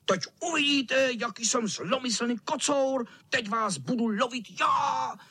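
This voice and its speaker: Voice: mid-range voice, sharp tone, slightly rough, no echo, pitched above the performer's own voice. A storyteller voicing a spiteful, mischievous old male cat who stammers, cackles and scolds in a wheezy squeal. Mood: menacing. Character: spiteful, mischievous old tomcat, blustering and whiny.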